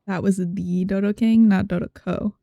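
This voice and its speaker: A woman's voice: medium pitch, steady tone, moderate pace, no echo, lo-fi sound. A young woman giving casual, rambling, playful game commentary as she thinks aloud. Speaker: game streamer. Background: soft game music.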